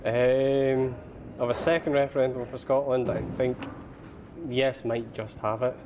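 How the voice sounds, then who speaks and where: Man interviewee, low and monotone, noisy background. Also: unhurried. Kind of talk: workplace interview.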